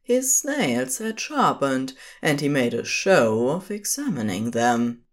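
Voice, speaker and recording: Woman narrator, scripted fiction, unhurried pace, lively intonation. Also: rumbling low.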